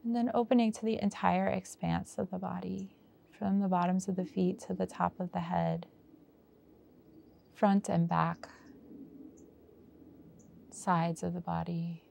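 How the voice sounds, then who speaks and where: A woman guiding a meditation as its teacher, with unhurried, gentle prompts and long pauses. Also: on the low side, flat delivery, vocal fry, slight background noise. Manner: slow, pausing, unhurried delivery with gentle prompts. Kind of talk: guided meditation.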